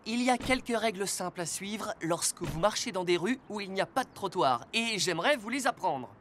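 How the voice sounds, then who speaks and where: A woman's voice: mid-range voice, a little fast, a little hiss or hum, lightly acted. Woman voicing a kind rescue car teaching safety. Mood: patient. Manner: calm, instructive. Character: friendly rescue police car, patient teacher.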